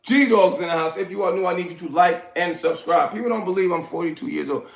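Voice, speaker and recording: A man's voice: high-pitched, quick pace, gravelly. Hyped New streamer man, echoey room. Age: 40s. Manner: hyped shout-outs, rambling, streetwise slang. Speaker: livestream host. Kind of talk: casual livestream chat with viewers.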